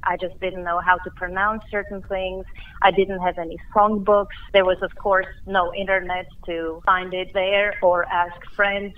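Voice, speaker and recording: A middle-aged woman from Slovakia speaks with a Slovak accent, recounting her past in a measured, thoughtful manner. Her voice sits a little low. She comes through a thin, tinny telephone line. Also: flat delivery. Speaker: guest musician. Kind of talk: phone interview.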